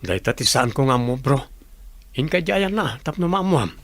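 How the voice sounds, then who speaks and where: A man's voice: medium pitch, animated, normal speed, fairly bright tone, dry recording. A man playing a plain-spoken construction worker and loyal friend in an audio drama, talking in a casual, questioning way. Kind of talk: Filipino audio drama acting.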